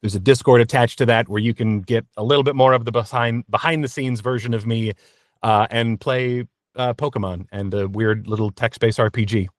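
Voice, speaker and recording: A man speaks in a casual interview style with a middling pitch. He is earnest and tends to break off and restart his thoughts. The recording is dry, with very little room sound. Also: fairly even intonation, fairly quick, no room sound. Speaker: interview guest, voice actor.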